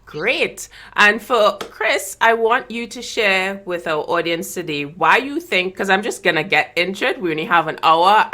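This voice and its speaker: Woman host, low voice with a bright, forceful edge, chatty and upbeat, on a video call. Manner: upbeat, chatty, laughs easily. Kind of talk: live online interview show.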